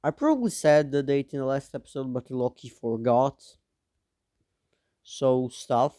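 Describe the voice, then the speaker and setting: A young man with a smooth, clear voice talks through a map scenario, drawling slowly with offhand asides. He sounds a bit sick. The sound is dry and close, with no room around it. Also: mid-range voice, expressive, dark tone, no room sound. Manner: rambling, offhand, teasing asides. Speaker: mapping video narrator. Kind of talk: casual narration over a map.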